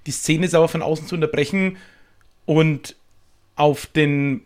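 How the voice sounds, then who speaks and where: A man's voice: fairly high pitch, fairly even intonation, unhurried. A man chatting casually on a panel, explaining things colloquially and often repeating words as he goes. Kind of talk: casual chat.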